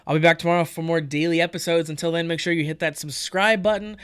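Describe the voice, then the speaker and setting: A man's voice: fairly high pitch, steady tone, quick pace, a bit bright, clear, resonant tone, no room sound, clean studio sound. A man hosting a podcast, giving chatty, informal commentary on entertainment news. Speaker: podcast host.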